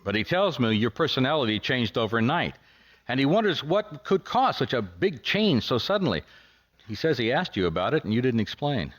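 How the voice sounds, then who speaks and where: An actor with an American accent playing a wry, put-upon 1950s private detective in a radio drama, plain-spoken and a little hesitant. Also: male voice, mid-range voice, very animated, normal speed, a bit bright. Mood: tentative, probing. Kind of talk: radio drama acting with first-person detective narration.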